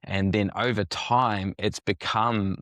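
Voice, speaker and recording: A man's voice: fairly low pitch, steady tone, slow pace, fairly bright tone, dry recording. A man co-hosting a podcast, talking through ideas reflectively with frequent restarts. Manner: reflective, thinks aloud with restarts. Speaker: podcast co-host. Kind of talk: casual podcast conversation.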